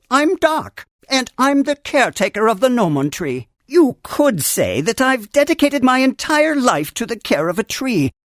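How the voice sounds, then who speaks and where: A woman's voice: on the high side, no echo, studio quality. A woman voicing a courteous, devoted creature who tends a mystical tree in a cartoon game, formal, earnest and reverent. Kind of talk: theatrical cartoon game character dialogue.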